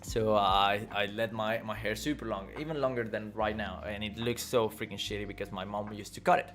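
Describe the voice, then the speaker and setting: A man's voice: lowish voice, fairly even intonation, fairly quick, fairly bright tone, soft background music. A young man hosting a casual livestream, rambling loosely, laughing often and swearing as he answers chat. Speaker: livestream host reading chat.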